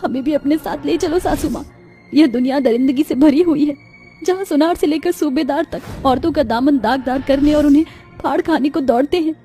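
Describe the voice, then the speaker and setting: A woman's voice: high voice, a little fast, warm tone, faint music behind, voice pushed up, natural voice. A woman voicing a poor young village daughter-in-law in a cartoon story, earnest and pleading in a dramatic, plaintive way. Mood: tearful grieving. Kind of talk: Hindi moral cartoon story acting.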